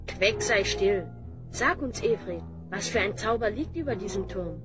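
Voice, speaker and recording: A woman's voice: medium pitch, expressive, moderate pace, over loud music, close to an everyday voice. A woman playing a resolute, dryly sarcastic young hero who gives orders in a fantasy audio drama. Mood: commanding.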